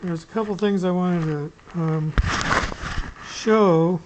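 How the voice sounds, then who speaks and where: A middle-aged hobbyist giving a casual, unscripted gear demonstration, rambling and often pausing mid-sentence. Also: mid-range voice, a little mellow. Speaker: amateur radio hobbyist giving a gear demo. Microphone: small camera pinned to t-shirt. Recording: noisy clip-on camera sound with clicks.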